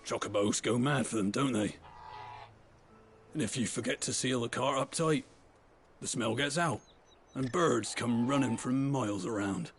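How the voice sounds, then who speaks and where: An actor voicing a chatty, blunt stable master in a video game, with a wry, folksy manner. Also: male voice, medium pitch, normal speed, a little hiss or hum, lightly acted. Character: gruff, wry male stable master, chatty working man. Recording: game music behind voice.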